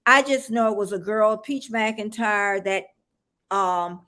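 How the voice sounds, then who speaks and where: A woman from the United States hosting a casual live-stream chat, chatty and quick to laugh. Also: medium pitch, pushed and bright. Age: older. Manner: laughs often, chatty and encouraging. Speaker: host.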